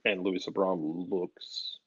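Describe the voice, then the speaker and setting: A man's voice: deep voice, little pitch movement, takes it slowly, slightly rough, dry recording, muffled recording. A man co-hosting a sports show, giving casual analysis and often repeating himself as he thinks.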